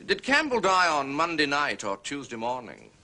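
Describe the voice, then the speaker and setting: Casting: blunt, pressing man, forceful tone, animated intonation, radio drama. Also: on the high side, moderate pace, heavily acted. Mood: insistent. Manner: direct, pressing. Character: blunt, insistent man demanding answers.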